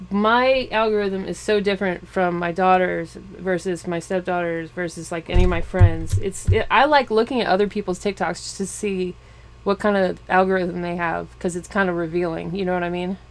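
A woman in her forties interviewing a young rapper in a casual, chatty way, laughing often and trailing off with fillers. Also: fairly low pitch. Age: 40s. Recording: faint background noise.

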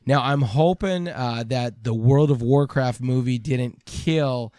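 A man's voice: mid-range voice, slow pace, warm tone, no room sound, studio quality. A man co-hosting a podcast, chatting casually like an enthusiastic nerd. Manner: self-confessed nerdy enthusiast. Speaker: podcast co-host. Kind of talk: casual chat.

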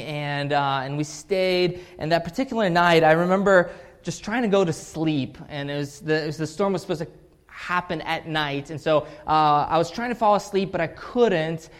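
Preacher, man in his 30s, from Russia, rambling anecdotal delivery, fairly high voice. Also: clear, resonant tone. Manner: halting storytelling with many fillers and restarts. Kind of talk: scripture reading then personal story sermon.

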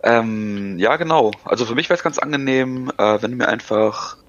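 A man in his thirties answering interview questions in a halting way, with many filler sounds. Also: medium pitch, fairly bright tone. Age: 30s. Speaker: podcast guest. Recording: dull dry lo-fi recording.

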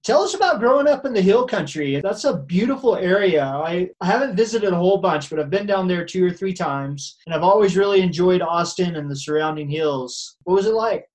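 A man hosting a podcast interview, friendly and quick to laugh along. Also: sits high, lively intonation. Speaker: podcast host. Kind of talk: conversational interview.

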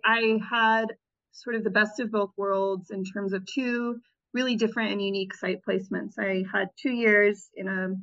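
A woman's voice: medium pitch, sharp tone. Woman reflecting in an interview, lo-fi sound. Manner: reflective, with frequent restarts and fillers. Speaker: interview guest, former Peace Corps volunteer. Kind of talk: conversational interview answers.

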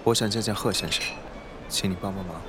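A man playing a formal, steadfast corporate aide in a radio drama, measured, businesslike and firm. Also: on the low side, music in the background, close to an everyday voice. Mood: polite, earnest. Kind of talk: Chinese radio drama acting.

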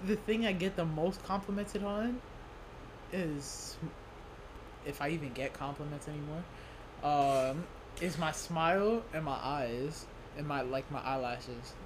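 Sassy young man, higher voice. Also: noisy background. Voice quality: higher-pitched voice, self-described. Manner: energetic, sassy, quick to joke. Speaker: podcast co-host. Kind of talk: casual chat.

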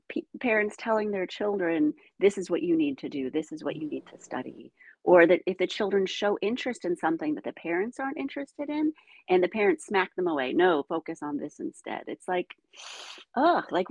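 A middle-aged woman, first raised speaking Ukrainian and from the United States, telling her life story as a guest in animated conversation. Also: mid-range voice, vocal fry, husky, no room sound, lo-fi sound. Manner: animated storytelling, frequent "you know". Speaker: guest, dancer.

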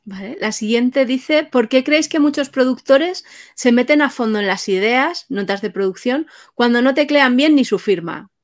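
A woman interviewer reads out questions sent in by the audience. Her voice is fairly high, and her delivery is casual. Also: normal speed.

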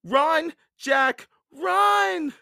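Storybook girl, male reader: very high, bright, forceful, bold and urgent. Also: extremely slow, vocal fry, no room sound, studio quality, heavily acted. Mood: alarmed shouting. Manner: urgent, insistent calling out. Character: bold, alarmed, scolding young girl.

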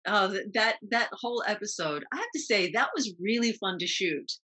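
A woman from New York with an American accent, speaking reflectively as an interview guest in quick, clipped phrases. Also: mid-range voice, expressive, pushed and bright, breathy edge. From New York, United States. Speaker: guest, actress recalling TV roles.

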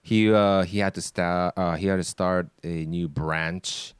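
A man in his twenties from Japan, co-hosting a casual podcast in a relaxed, hesitant way with many fillers. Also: low voice, measured pace, clear, resonant tone, no echo, clean studio sound. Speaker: sound engineer filling in as co-host.

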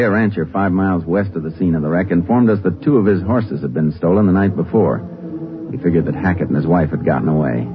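A male actor plays a steady, shrewd Texas lawman from a 1950s radio crime drama. His voice is very low and authoritative, delivered matter-of-factly. The old recording is muffled, with music in it. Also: lightly acted. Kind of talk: 1950s radio drama acting and case narration.

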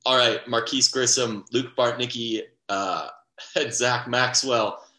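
A man's voice: medium pitch, fairly even intonation, takes it slowly, sharp tone, reverberant. A young man co-hosting a sports podcast in casual, rambling chat, full of fillers and restarts. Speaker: podcast co-host.

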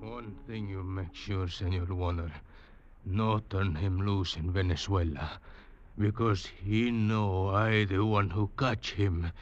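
Slow, fearful Venezuelan snake catcher. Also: male voice, low-pitched, fairly even intonation. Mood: fearful warning. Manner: broken English, ominous warnings. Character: fearful, superstitious Venezuelan male snake catcher and hired guide. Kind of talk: old-time radio drama acting. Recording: muffled vintage radio recording.

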